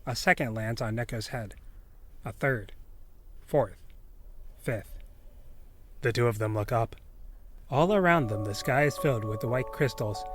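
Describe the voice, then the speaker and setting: A man narrating a scripted story as a storyteller. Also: mid-range voice. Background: music.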